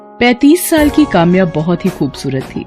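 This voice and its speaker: A woman narrating a scripted bedtime story in a steady, even manner. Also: fairly low pitch, moderate pace, music in the background.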